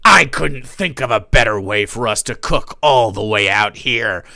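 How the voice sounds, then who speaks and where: Brief: scheming bearded villain read by a man, menacing, bright tense dry voice. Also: medium pitch, lively intonation, measured pace, creaky, no room sound, full character voice. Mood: muttering. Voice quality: menacing, sometimes through gritted teeth. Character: scheming bearded male villain, cook-off rival.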